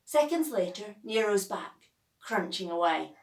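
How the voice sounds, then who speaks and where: A woman narrates a children's novel with measured, expressive phrasing. Her pace is slow and her pitch is average. The room echoes around her, and she sounds slightly distant from the microphone. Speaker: author reading own novel.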